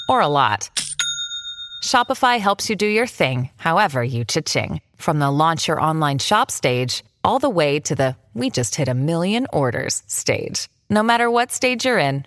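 A woman with a deep voice reads a scripted commercial playfully. Her intonation is very lively, and the sound is dry and close. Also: no echo. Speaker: advert announcer.

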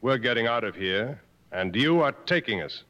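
An actor playing a resolute adventurer in a 1950s radio drama, speaking with urgent authority. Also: male voice, medium pitch, expressive, normal speed, sharp tone. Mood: firm, commanding. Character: commanding adventurer, hunter leading jungle escape, resolute. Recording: muffled old radio broadcast recording.

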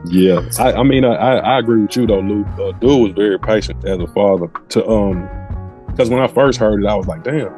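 A man with a dark, relaxed voice chats casually on a podcast panel. He gives his opinions in street slang and voices imagined quotes, with music faintly underneath. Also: on the low side, fairly quick.

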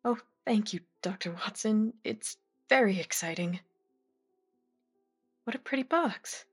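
An actress playing a gentle, polite young Victorian lady in a period mystery audio drama, with a breathy voice. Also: mid-range voice, unhurried, faint music behind. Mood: polite curiosity. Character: genteel young Victorian lady, composed and tender.

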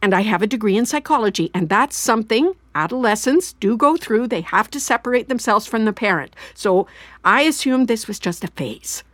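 An actress playing an anxious, regretful middle-aged mother in an audio drama, halting and justifying herself as she confesses. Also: fairly high pitch, lively intonation, fairly bright tone, no echo. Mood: regretful, explaining. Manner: halting, self-justifying, repeats and trails off. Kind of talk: naturalistic audio drama acting.

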